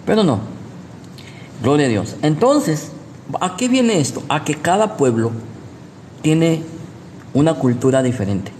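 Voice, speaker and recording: A man's voice: a little high, very animated, measured pace, pauses often, darkish tone. Male preacher, Guatemalan accent, didactic sermon delivery with rhetorical questions; long room reverb and some background noise. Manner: didactic, repeats points, checks in with rhetorical questions. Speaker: pastor, preacher. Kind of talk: sermon, teaching with personal anecdotes.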